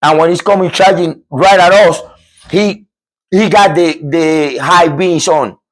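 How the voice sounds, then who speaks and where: Emphatic man from Cuba, animated storytelling. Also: fairly high pitch, a little slow, rough recording. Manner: animated, repetitive, emphatic with restarts. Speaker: podcast host. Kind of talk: storytelling a true scary encounter.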